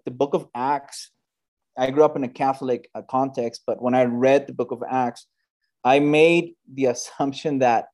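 A man in his thirties talks through his story as an interview guest. He speaks earnestly and conversationally, often pausing with fillers and restarting phrases mid-thought. His pitch sits in an ordinary middle range. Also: a little mellow. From United States, Bay Area. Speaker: church planter, guest.

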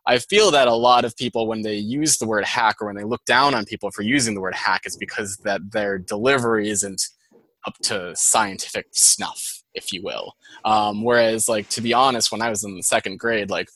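A man's voice: fairly low pitch, fairly even intonation, sharp tone, dry recording, low-quality recording. A man with a Boston accent, a guest on an interview show, explaining things in a chatty, rambling way full of "like"s. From Boston, USA. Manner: chatty, fast-flowing explanations with many "like"s. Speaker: guest, data scientist. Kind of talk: casual interview chat.

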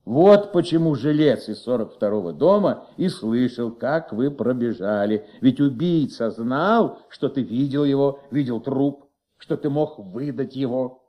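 An actor in a Soviet radio play playing a patient, shrewd middle-aged police commissaire with flu, questioning gently in a husky, creaky voice. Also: male voice, mid-range voice, lively intonation, measured pace, highs cut off, natural voice. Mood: earnest, explaining. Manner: gentle, coaxing, paternal questioning.

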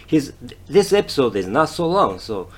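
A man in his fifties speaking English with a Japanese accent in a solo podcast, halting, with many fillers and restarts, stressing his key words. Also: mid-range voice, sing-song. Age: 50s. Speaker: podcast host.

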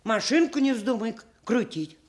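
An actress playing a doting, tearful old village grandmother in a radio play, with husky voice and speech. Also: fairly high pitch, moderate pace, natural voice. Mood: busy, strict.

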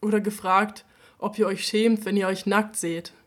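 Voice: medium pitch, little pitch movement, normal speed. Firm, direct voice addressing strangers. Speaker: performer in a radio play. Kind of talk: scripted theatrical monologue.

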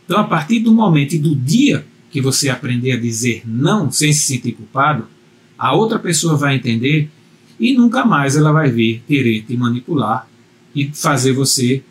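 A man's voice: mid-range voice, expressive, moderate pace. A man teaching a communication video lesson, speaking earnestly and directly to the viewer, often repeating himself for emphasis. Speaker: communication coach.

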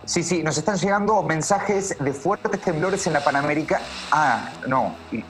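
A man's voice: fairly high pitch, expressive, unhurried, music in the background. A man playing an earnest, alarmed news reporter with a Buenos Aires accent, hesitantly relaying urgent bulletins in a radio drama. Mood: horrified. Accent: Buenos Aires Argentine. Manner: hesitant, repeating, apologetic.

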